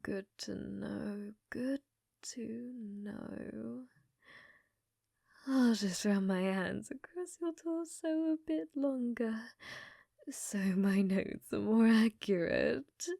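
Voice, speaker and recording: A woman voicing an unhinged, infatuated scientist in a hushed, breathy ASMR roleplay, clinical yet flirtatious and often whispering. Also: fairly high pitch, extremely slow, no room sound, clean studio sound, exaggerated voice. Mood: fascinated, lingering. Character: unhinged, infatuated female scientist studying a captive alien.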